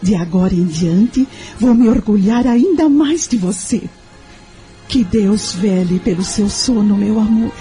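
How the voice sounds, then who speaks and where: A woman's voice: medium pitch, expressive, unhurried, over music, natural voice. A woman playing a tender, earnest young mother in an audio drama, grateful and devoted.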